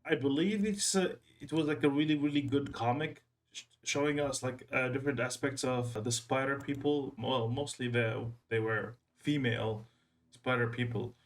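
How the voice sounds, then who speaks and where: Male reaction host, medium pitch, casual commentary, rambling, halting, repetitive. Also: fairly even intonation. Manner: rambling, halting, repeats himself.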